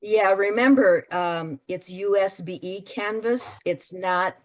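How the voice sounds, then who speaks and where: A woman leading an online meeting, explaining things with many fillers and pauses. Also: mid-range voice. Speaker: meeting facilitator.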